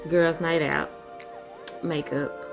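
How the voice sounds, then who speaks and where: Young woman, hoarse and husky, relaxed chatty vlogger, clear music bed. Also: sits low, slow pace. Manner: relaxed, chatty, says y'all and um often. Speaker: beauty vlogger. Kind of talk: casual storytelling chit chat.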